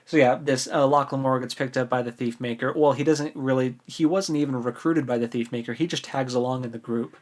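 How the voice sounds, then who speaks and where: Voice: mid-range voice, steady tone, brisk, few pauses, darkish tone. A podcast co-host with an American accent, chatting informally and with some amusement about a book. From United States. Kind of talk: casual book discussion.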